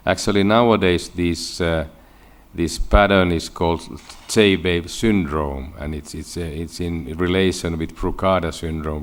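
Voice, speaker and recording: A man from Finland presents research at a medical conference in a low-pitched voice. He has a Finnish accent and speaks haltingly, often restarting and repeating words as he explains. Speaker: medical researcher giving a lecture.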